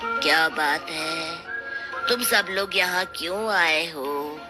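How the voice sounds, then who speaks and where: A woman's voice: low voice, slow pace, over music, voice pushed down. A woman voicing an imposing male tantric holy man, solemn and portentous, as if pronouncing a doom. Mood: stern, slow.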